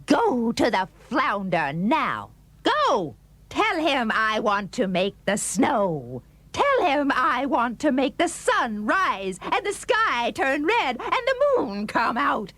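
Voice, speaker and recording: A woman's voice: sits high, very animated, slow pace, fairly bright tone, no echo, exaggerated voice. A woman playing a demanding, imperious wife and emperor in a dramatised folk tale, bossy and insistent.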